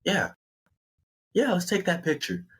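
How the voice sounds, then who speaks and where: A man's voice: high-pitched, huge pitch swings, a little slow, slight background noise, voice pushed up, heavily acted. A man playing a long-suffering, good-natured male hiker in a story, earnest and exasperated. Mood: resigned.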